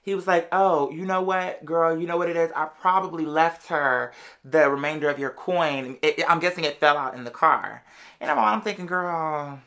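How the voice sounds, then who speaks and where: A podcast host telling a personal story in a casual, animated, sassy way, full of slang and drawn-out emphatic words. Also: medium pitch. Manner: animated, sassy, slangy, addressing listeners as "girl". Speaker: podcast or live show host. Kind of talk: casual storytelling monologue to an audience.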